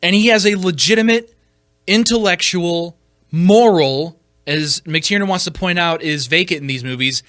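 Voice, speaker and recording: A man giving opinion commentary as a podcast host, emphatic and argumentative, often repeating words haltingly and leaning on rhetorical questions. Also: high-pitched, a bit bright, no room sound, studio quality.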